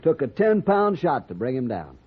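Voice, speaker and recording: An actor playing a cocky, quick-tempered ranch hand with a mocking Western American drawl in a radio western. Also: male voice, medium pitch, very animated, breathy edge. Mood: boastful, mocking. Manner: folksy drawl, taunting. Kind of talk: old-time radio western acting. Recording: muffled, narrow-band old radio recording.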